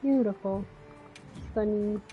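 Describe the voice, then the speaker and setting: A woman's voice: mid-range voice, slow pace, soft background music. A woman chatting casually and grumbling sarcastically while playing a video game with her brother. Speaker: gaming streamer. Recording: dull lo-fi stream audio.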